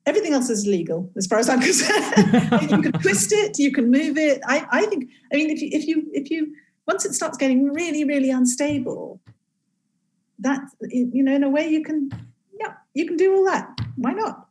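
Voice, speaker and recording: A woman who grew up in East Africa, a game inventor talking as a guest in a casual interview, halting with many restarts and laughing often. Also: sits high, animated, a little mellow.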